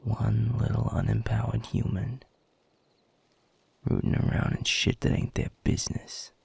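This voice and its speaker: Audio roleplay werewolf enforcer, played by a man: very husky, aggressive, sarcastic, dry close sound. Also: on the low side, fairly even intonation, measured pace, a little mellow, dry recording, heavily acted. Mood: sneering interrogation. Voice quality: gravelly, husky. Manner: taunting, sarcastic, swearing. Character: aggressive, foul-mouthed male werewolf pack beta.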